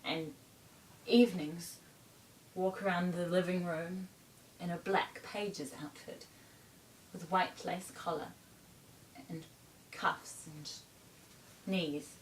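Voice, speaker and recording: A woman performing a stage monologue as a troubled young bohemian runaway, confessing in a deliberate, haunted manner with pauses. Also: fairly even intonation. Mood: haunted, recounting. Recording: roomy, distant mic, faint rumble.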